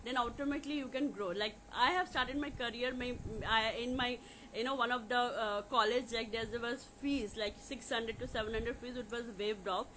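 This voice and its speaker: A woman with an Indian accent talking informally to viewers as a coach on a livestream, in a halting, repetitive way with many filler phrases. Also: a little high, fairly even intonation, fairly bright tone, a little hiss or hum, low-quality recording. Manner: repetitive, halting with frequent "you know". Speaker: life coach hosting a live question session.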